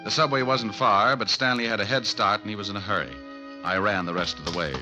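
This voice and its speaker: An actor in an old radio crime drama playing a cocky, hard-boiled private detective with dry wisecracks. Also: male voice, fairly low pitch, quick pace, close to an everyday voice, poor audio. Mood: narrating. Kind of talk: 1940s radio acting. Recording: old radio broadcast, music behind.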